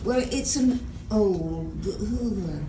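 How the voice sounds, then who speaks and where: A woman's voice: mid-range voice, lively intonation, very slow. An older woman playing a scatterbrained, hesitant woman in an amateur radio theatre reading. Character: scatterbrained woman with a broken old vacuum. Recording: echoey room, distant mic, noisy background.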